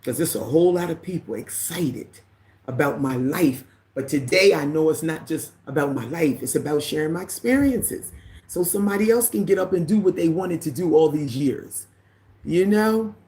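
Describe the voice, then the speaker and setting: A woman with a deep voice hosts a casual livestream. She greets viewers by name, reads their comments aloud, and drifts into preachy asides. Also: expressive, moderate pace, dark tone. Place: living in the Caribbean.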